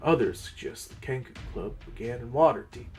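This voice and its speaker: Brief: male host and game master, dramatic fantasy narration, mid-pitched, deliberate pauses, music underneath. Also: expressive, a little mellow. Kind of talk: dramatic reading and channel presenting.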